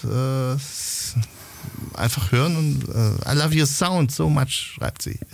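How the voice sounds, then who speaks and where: A man's voice: mid-range voice, slow pace, creaky, a little hiss or hum. Chatty male host who laughs often. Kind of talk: live show interview.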